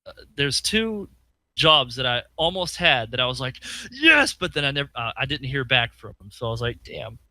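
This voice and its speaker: A man's voice: medium pitch, sing-song, normal speed, sharp tone, no room sound. A man co-hosting a podcast in casual chat, telling a rambling story with fillers and acted-out quotes. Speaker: podcast co-host.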